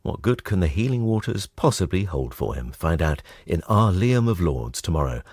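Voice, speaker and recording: A man's voice: deep voice, big pitch swings, normal speed, darkish tone, husky, dry recording, studio quality. A man reading a scripted programme trailer as a radio continuity announcer.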